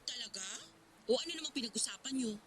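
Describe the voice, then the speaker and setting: An actress in a radio drama playing an impatient, teasing older sister with a sassy manner. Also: female voice, on the high side, lively intonation, fast talker, a bit bright, husky. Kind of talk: Tagalog radio drama acting.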